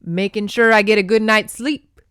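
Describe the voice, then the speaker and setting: A woman's voice: mid-range voice, fairly even intonation, normal speed, fairly bright tone, smooth, no echo, studio quality, natural voice. A woman putting on a stern Scottish clan chief. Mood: smug. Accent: put-on Scottish. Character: stern flirty male Scottish clan leader.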